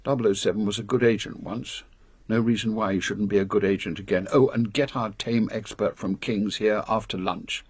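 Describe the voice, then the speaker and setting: An actor playing an authoritative older British spy chief, brisk and ruthless, with clipped, formal delivery in a radio drama. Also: male voice, lowish voice, fairly even intonation, husky, no echo. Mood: brisk, commanding.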